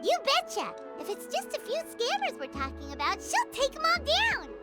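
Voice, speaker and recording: A woman's voice: wildly swooping pitch, moderate pace, exaggerated voice. A woman voicing a small, childlike, chirpy fairy companion in a video game, eager and naive. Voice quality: squeaky, childlike. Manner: perky, exclamatory, speaks of herself in third person. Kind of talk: video game character dialogue. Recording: loud music behind the voice.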